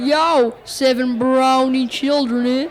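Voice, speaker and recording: A woman's voice: sits high, steady tone, takes it slowly, a loud crowd, very noisy. A girl playing a dry, teasing village gossip in a school radio play. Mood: teasing, musing.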